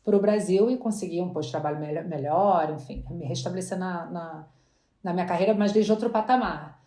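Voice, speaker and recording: A woman's voice: on the low side, fairly quick, mellow. A woman, chatting as an interview guest and often restarting her words. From Brazil. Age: 40s. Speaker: guest entrepreneur. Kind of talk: casual interview chat. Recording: roomy echo.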